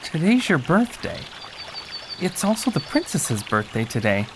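A polite young traveller in a fairytale audio play. He is tentative yet very expressive in pitch, with water trickling faintly behind him. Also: measured pace, noisy background. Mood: friendly, curious. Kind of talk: fairytale audio drama acting.

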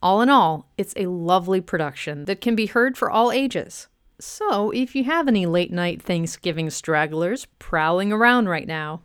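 A woman's voice: medium pitch, clear, resonant tone, no echo, clean studio sound. A woman hosting a radio show, giving a scripted introduction.

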